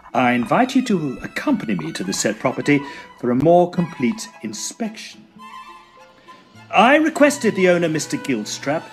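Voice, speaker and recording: A man playing a fussy, genial Edwardian estate agent in a tongue-in-cheek audio drama, speaking in wordy, formal, old-fashioned phrases. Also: fairly high pitch. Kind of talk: tongue-in-cheek period audio drama, first-person storytelling and dialogue.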